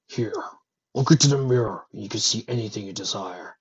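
A gentle, lovesick dragon from a storybook, played by a man. The delivery is subdued and earnest, carrying the sadness of an accursed creature. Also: lowish voice, brisk, breathy edge, lo-fi sound.

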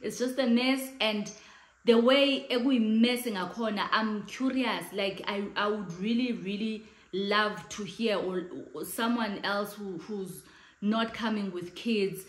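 A woman's voice: mid-range voice, takes it slowly. South African woman with a big voice, late 30s, chatty vlogger talking to camera, room echo. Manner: chatty, animated hand gestures, mixes in Xhosa phrases. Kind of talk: casual chat to camera. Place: living room, indoors, in front of a sofa.

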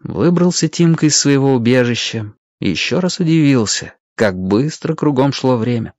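Expressive male storyteller, very animated intonation. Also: medium pitch, moderate pace, no room sound, highs cut off. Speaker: narrator. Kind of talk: dramatized storybook narration.

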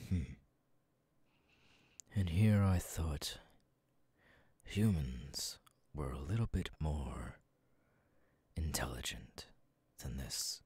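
A sadistic demon king, played by a man, speaks softly and with haughty condescension in an audio roleplay. The voice is dark and very deep, delivered at an unhurried, drawn-out pace that keeps every line menacing. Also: lively intonation, breathy edge, no echo, heavily acted. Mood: cold, condescending. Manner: slow, soft-spoken, condescending. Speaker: voice actor.

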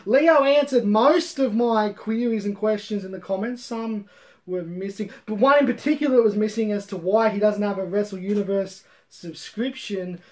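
A man's voice: high voice, darkish tone. A man in his thirties hosting a casual comedic review, rambling and swearing, repeating himself with mock-boastful swagger. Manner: rambling, sweary, repeats phrases for comic effect. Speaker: host of a manga review show. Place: room at home with bookshelves.

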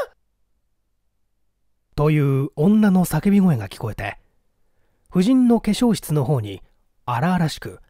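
Mellow, expressive male story narrator. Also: a little high, no room sound, clean studio sound. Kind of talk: dramatic scripted story narration.

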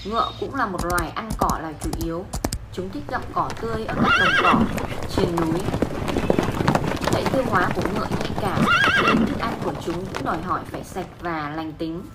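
A woman's voice: lowish voice, expressive, fairly quick, heavy background noise. A woman reading an educational narration for children about animals. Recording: loud animal noises behind the voice. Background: farm animal sounds, clucking chickens.

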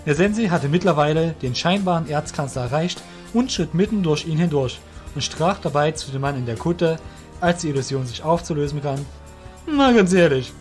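A man's voice: on the high side, brisk, faint music behind. A man reading scripted fantasy story narration as the narrator of an audio drama.

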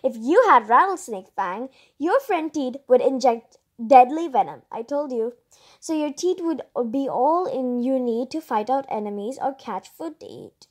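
A female host reading a children's picture book aloud, expressively, with chatty asides and drawn-out emphasis. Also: high voice, lively intonation.

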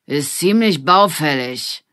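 A woman voicing a rude, drunken junkyard man in a children's audiobook, with a rough, threatening manner. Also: low voice, animated, takes it slowly, fairly bright tone, dry recording, clean studio sound, heavily acted. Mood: gruff, boastful.

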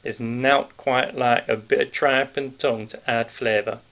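A man's voice: medium pitch, fairly even intonation, a little slow, gravelly, fairly bright tone. A man voicing a stout, sly, cheerful male wartime grocer with a Yorkshire accent, in a knowing, conspiratorial manner. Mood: jovial.

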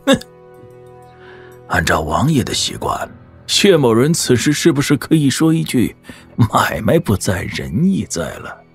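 An actor playing a haughty, scheming martial master in an audio drama, cold and sardonic with a rough husky voice. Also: male voice, medium pitch, big pitch swings, a little slow, faint music behind, heavily acted.

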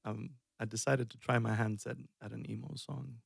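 A man's voice: medium pitch, steady tone, moderate pace, vocal fry, slightly rough, no echo, clean studio sound. A man from Iceland in his thirties, chatting casually and rambling on a music live stream, self-deprecating and chuckling often. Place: dark room indoors. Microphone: headset.

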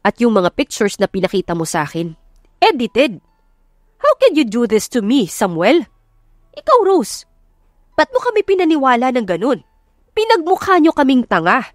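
A woman's voice: fairly high pitch, sing-song, normal speed, long pauses, dry recording, studio quality, voice pushed up. A woman voicing a stern, angry father who feels betrayed, in a romance audiobook mixing Tagalog and English. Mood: angry, accusing. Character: angry, betrayed wealthy father confronting his son. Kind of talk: audiobook romance drama acting.

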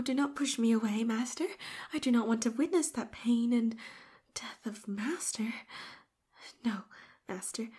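A clingy, possessive female robot companion, voiced by a woman for an audio roleplay. Her voice sits a little high and comes out breathy and hushed, right up against the mic. She pleads, then turns eerily calm and controlling. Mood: desperate pleading. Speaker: voice actress.

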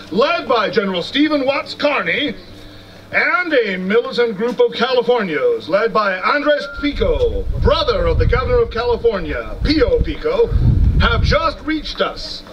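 A man's voice: very high voice, big pitch swings, normal speed, fairly bright tone, dull audio, heavily acted. A man playing an old-time TV news anchorman, formal and declamatory, reporting outdoors at a historical reenactment. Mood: solemn, formal. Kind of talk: theatrical news reporting, reading from a script. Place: outdoor amphitheater in a park. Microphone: stand microphones, far camera. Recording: outdoor PA from far off, noisy.

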